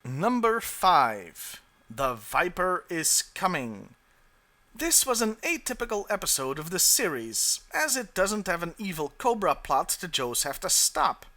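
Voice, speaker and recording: A man's voice: high voice, sing-song, unhurried, pushed and bright. A man narrating a scripted countdown review as the host.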